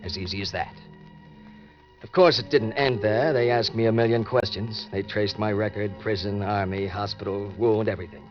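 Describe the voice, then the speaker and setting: An actor with an American accent playing a wry, laconic ex-convict war veteran in an old-time radio crime drama. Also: male voice, on the low side, fairly even intonation, moderate pace, lightly acted. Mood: wry narration. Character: hard-boiled ex-convict war veteran posing as missing heir. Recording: dull vintage radio recording, faint music.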